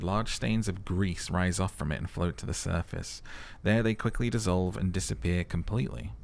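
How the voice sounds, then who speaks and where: A man's voice: low-pitched, vocal fry. A man narrating a game playthrough, mixing dramatic reading with casual commentary.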